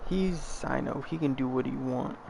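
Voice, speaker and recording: An actor playing a brash, excitable, grumbling young man in a video game, with a creaky voice. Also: medium pitch, moderate pace, heavy background noise.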